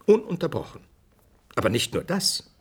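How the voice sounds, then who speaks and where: An actor playing an earnest, didactic scientist being interviewed in a radio drama. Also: male voice, on the high side, very animated, normal speed, slightly rough. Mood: explaining. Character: earnest male scientist, explaining theory, brusquely dismissive of speculation.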